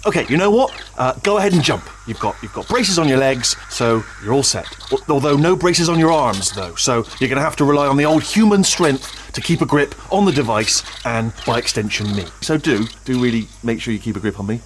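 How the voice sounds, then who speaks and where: A man's voice: medium pitch, expressive, fairly quick, hardly any pauses, slight background noise, lightly acted. A British man playing a nervous, chatty, bumbling little robot sidekick in a comic video game, rambling and stammering anxiously. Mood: fussy instructing. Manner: rambling, stammering, self-correcting, anxious babble.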